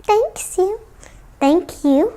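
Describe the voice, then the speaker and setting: A young female child presents a read-aloud for other kids. Her voice is high and her pace is slow and unhurried. She tells the story as a storytime host would. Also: expressive, mellow.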